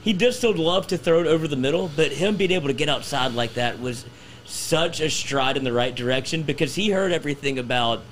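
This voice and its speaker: A man's voice: on the high side, a little fast, fairly bright tone, rough recording. Slangy man over noticeable background noise. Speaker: sports show panelist. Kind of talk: casual football chat.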